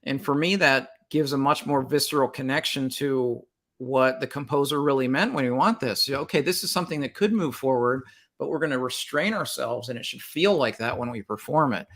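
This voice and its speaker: A man's voice: mid-range voice, brisk, a bit bright. A man, a music teacher guesting on a book chat, talking in a reflective way with small restarts.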